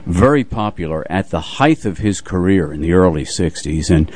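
An older man co-hosting a radio show, moving between relaxed chat and announcements. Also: low voice, moderate pace.

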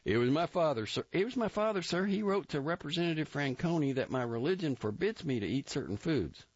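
A persistent young army private, as a male reader puts him on, pitched well above a man's usual voice. He sounds eager, overly polite and pleading. The recording is dull and dry. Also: big pitch swings, moderate pace, gravelly, no room sound, exaggerated voice.

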